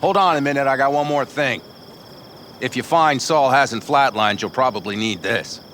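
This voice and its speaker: A man plays a nomad mechanic from a sci-fi video game. He is practical, modest and plain-spoken, with a mid-pitched voice and faint outdoor insect sounds behind. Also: moderate pace, a bit bright, slight background noise, lightly acted. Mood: earnest, helpful.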